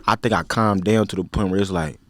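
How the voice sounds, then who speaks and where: A man in his twenties with a Philadelphia accent, a podcast guest talking in a relaxed, slang-heavy, rambling way. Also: on the low side, a little fast, a little hiss or hum. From Philadelphia, USA. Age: 20s. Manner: laid-back, slang-heavy, rambling with many fillers. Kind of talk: casual interview chat.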